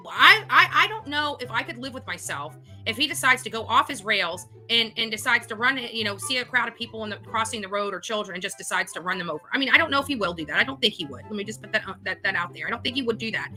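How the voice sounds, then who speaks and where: A woman's voice: a little high, animated, fast talker, few pauses, pushed and bright, faint music behind, rough recording. A woman speaking off the cuff in an indignant, emphatic, self-justifying way, like a vlog host. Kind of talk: unscripted monologue to camera.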